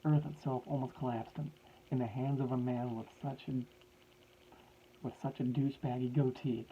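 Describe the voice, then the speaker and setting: A man's voice: medium pitch, steady tone, moderate pace, highs cut off, slight background noise, close to an everyday voice. A man voicing a grieving middle-aged male scientist who pleads in a raised, strained voice. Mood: urgent.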